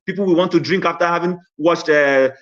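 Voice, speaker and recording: Measured male caller, lo-fi line. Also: on the high side, a bit bright. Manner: measured, summarizing, some hesitant fillers. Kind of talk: call-in contribution. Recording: lo-fi online call audio.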